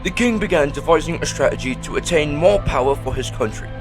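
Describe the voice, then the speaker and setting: A man's voice: a little high, lively intonation, fast talker. A man narrating a fantasy audio drama in a scripted storybook style. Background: music.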